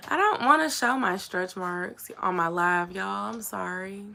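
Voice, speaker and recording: A young woman with a somewhat raspy voice, chatting casually to her viewers and saying "y'all" and "like" a lot. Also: medium pitch, measured pace, bright, forceful tone, a little hiss or hum. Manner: chatty, says "y'all" and "like" often. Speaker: vlogger. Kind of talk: casual chat to camera.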